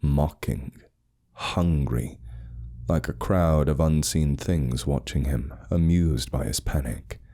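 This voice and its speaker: A man's voice: a bass voice, takes it slowly, mellow. A man narrating a scripted horror story with suspenseful, dramatic delivery.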